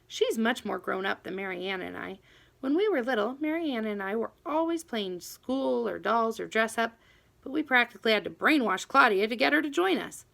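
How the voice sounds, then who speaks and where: A woman reading a children's novel aloud in an expressive, animated first-person storytelling manner. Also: on the high side, moderate pace, creaky. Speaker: audiobook reader.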